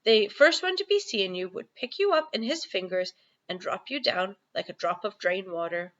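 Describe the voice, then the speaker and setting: A woman's voice: medium pitch, animated, normal speed, a bit bright, highs cut off, lightly acted. A woman voicing a friendly, boastful storybook giant who talks in mangled grammar and invented comic words. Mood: knowing, gleeful.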